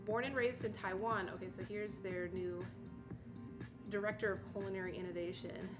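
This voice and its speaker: A woman's voice: mid-range voice, fairly even intonation, moderate pace. Woman host, reads an article aloud steadily, drops into chatty asides, mid-range voice, music running under her. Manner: reads steadily, breaks off into chatty, surprised comments. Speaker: livestream host. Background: music playing underneath.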